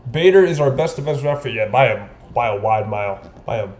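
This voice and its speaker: A man's voice: medium pitch, animated, fast talker, darkish tone. A man doing informal live baseball play-by-play for a stream, with casual, fan-like asides. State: bouts of coughing. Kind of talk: informal play-by-play commentary with chat. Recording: roomy echo, faint background noise.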